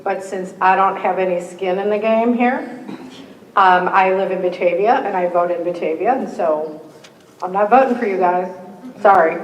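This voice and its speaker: A woman, moderating a candidate forum, reading the rules with friendly joking asides and a slightly hoarse voice. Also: mid-range voice, a little hiss or hum. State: slightly hoarse, losing her voice. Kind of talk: reading scripted opening rules with casual asides. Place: meeting room with a live audience. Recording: echoey hall, distant mic.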